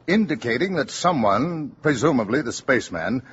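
An actor playing a dutiful army colonel giving a formal, factual report in a 1950s radio drama. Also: male voice, mid-range voice, big pitch swings, normal speed, fairly bright tone, a little hiss or hum. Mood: formal, serious. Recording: dull 1950s radio recording.

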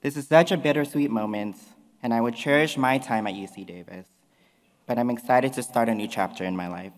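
A young man giving an earnest, emotional graduation speech at a podium in a large hall. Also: on the low side. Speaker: graduating student speaker. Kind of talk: commencement speech. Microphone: podium mics. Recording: long hall reverb.

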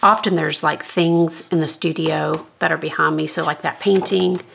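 A middle-aged woman with a low, scratchy, gravelly voice walks through her sketchbook in a relaxed, thinking-aloud way. She chats casually from her home studio, with a faint hiss under her voice. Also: moderate pace. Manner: relaxed, thinking aloud while flipping pages. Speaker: artist giving a sketchbook tour. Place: home art studio, indoors. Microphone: overhead camera, speaker off-frame.

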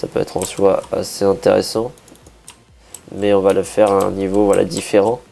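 Hoarse man thinking aloud while building. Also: low-pitched, little pitch movement, moderate pace, warm tone, a little hiss or hum. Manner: thinking aloud, many hesitations and restarts. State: hoarse, a bit sick. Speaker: Minecraft builder. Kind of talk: casual building commentary.

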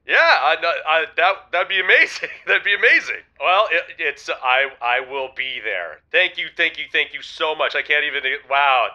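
Male call-in guest, audio drama: lovelorn and eager, very sharp bright voice on a dull call line. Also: a little high, expressive, normal speed, hardly any pauses. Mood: overjoyed. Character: eager, lovelorn, self-doubting local male caller. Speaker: caller.

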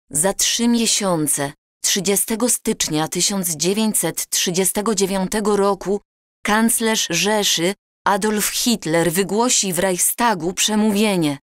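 A woman playing an earnest married time traveler in an audio drama, speaking deliberately. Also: medium pitch, no room sound, studio quality, lightly acted.

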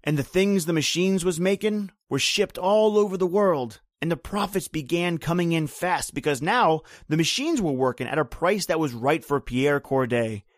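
An actor playing a bitter, grim island native who tells an old horror tale in brooding, rhythmic, folksy phrases, in old-time radio drama style. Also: male voice, fairly high pitch, moderate pace, few pauses, dry recording, clean studio sound, lightly acted.

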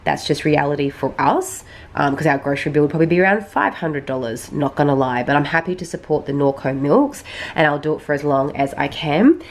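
A woman's voice: low-pitched, lively intonation, a little fast. A woman in her thirties with an Australian accent, chatting casually in a home kitchen vlog, sniffly and losing her voice, rambling with frequent ums. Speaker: vlogger. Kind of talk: casual grocery haul vlog.

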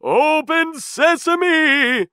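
A greedy, bossy storybook man, voiced by a male narrator, excitable and demanding. The voice jumps high and swings widely, with a bright, forceful edge. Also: very slow, no echo, exaggerated voice. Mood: excited shouting. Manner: demanding, exclamatory.

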